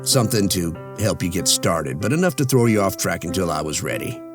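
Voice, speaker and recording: An actor in an audio drama playing a gloating, taunting mad-scientist serial killer with drawn-out, theatrical delivery. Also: male voice, sits low, sing-song, music in the background. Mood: smug, menacing.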